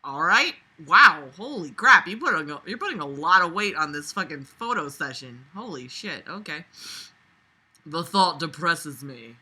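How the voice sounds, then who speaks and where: A woman's voice: fairly low pitch, sing-song, normal speed, few pauses, pushed and bright, breathy edge. A sarcastic, exasperated woman reading a novel aloud with casual, sweary commentary. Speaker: reader and commentator.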